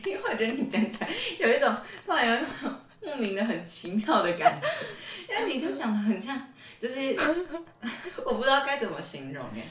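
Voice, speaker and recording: A woman's voice: on the high side, big pitch swings, fairly quick, fairly bright tone. A young adult woman with a Taiwanese Mandarin accent chatting casually as a podcast co-host. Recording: roomy sound with music behind.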